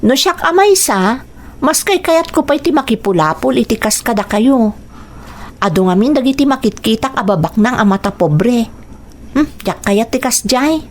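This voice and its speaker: Actress as a friendly high-voiced young graduate. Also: female voice, animated, normal speed, lightly acted. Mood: friendly, reassuring. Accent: Ilocano. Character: friendly, well-off young female college graduate. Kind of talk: Ilocano radio drama acting.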